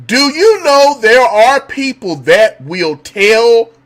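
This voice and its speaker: A man hosting a live call-in show from home, talking in a relaxed, chatty way with a slightly hoarse voice and repeating his questions for effect. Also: extremely high pitch, slow pace. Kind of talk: casual live-stream talk.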